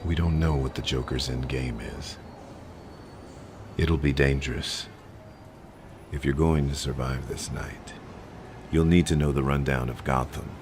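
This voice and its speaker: An actor playing a grim, terse masked male vigilante with a deep gravelly voice in a dramatic audio roleplay. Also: background noise. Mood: brooding. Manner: terse, slow, brooding, commanding.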